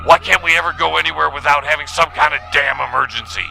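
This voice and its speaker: A man voicing a frazzled, irritable starship doctor with a gravelly, sharp voice, snappish and grumbling, in a sci-fi audio parody. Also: a little high, quick pace, a little hiss or hum, heavily acted. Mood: irritated.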